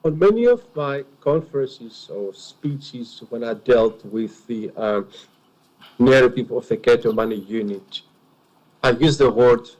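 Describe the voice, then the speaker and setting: A man's voice: medium pitch, rough recording. A man with a heavy Greek accent, a native Greek speaker, giving earnest, emphatic testimony as a witness at an enquiry. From Greece.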